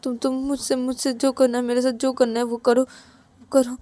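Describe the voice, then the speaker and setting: A woman's voice: sits high, flat delivery, brisk, mellow, no echo, lightly acted. A woman voicing a desperate, submissive young husband who stammers and pleads tearfully in an audio drama. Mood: eager, submissive pleading. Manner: stammering, repeating words.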